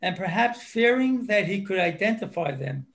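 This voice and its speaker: A man with a notably high voice and a gravelly edge delivers a prepared book review. His reading is measured and earnest, with occasional small stumbles over words. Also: expressive, a bit bright.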